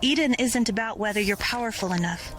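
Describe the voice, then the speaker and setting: An actress playing a determined, burdened rebel leader in an audio drama. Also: female voice, fairly high pitch, a little fast, music in the background, natural voice. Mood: earnest, determined. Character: determined female rebel leader Seraphine, burdened but full of faith.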